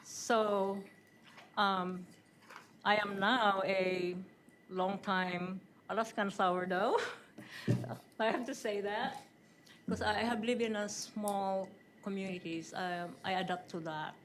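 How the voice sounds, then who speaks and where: Woman from the Philippines, interview candidate, chatty, lots of ums, mid-pitched voice, faint background noise. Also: fairly even intonation, takes it slowly. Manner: chatty, with frequent ums and laughs. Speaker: job candidate. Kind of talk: answering interview questions.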